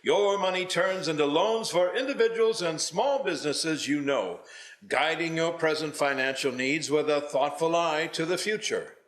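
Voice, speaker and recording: A man's voice: on the high side, normal speed, a bit bright, echoey room. A man reading a scripted advertisement as an announcer. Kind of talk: scripted ad read.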